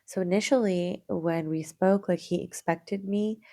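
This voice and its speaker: A young woman co-hosting a casual podcast, telling stories in a chatty way with quick bursts of excitement. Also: lowish voice, dry recording. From Canada. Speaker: podcast co-host. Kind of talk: casual chat.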